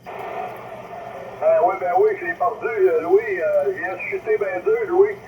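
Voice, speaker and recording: A man's voice: very high-pitched, huge pitch swings, slow pace. Over a CB radio link, a man with a Quebec French accent chats casually as an operator. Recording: muffled, noisy CB radio reception.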